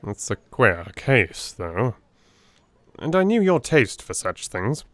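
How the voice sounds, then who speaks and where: A man's voice: medium pitch, big pitch swings, takes it slowly, fairly bright tone, no echo. A man voicing an eager, self-satisfied but deferential Victorian police detective in an audiobook. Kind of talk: dramatised Victorian audiobook dialogue.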